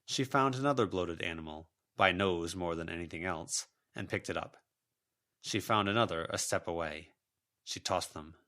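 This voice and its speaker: A man's voice: deep voice, moderate pace, pushed and bright. A man narrating a fiction audiobook from a written text. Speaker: audiobook narrator, volunteer reader.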